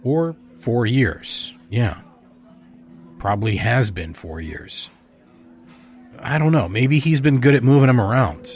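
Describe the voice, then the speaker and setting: A man playing a steady, loyal, guarded friend in naturalistic audio drama dialogue. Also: mid-range voice, slow pace, long pauses. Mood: earnest, hesitant.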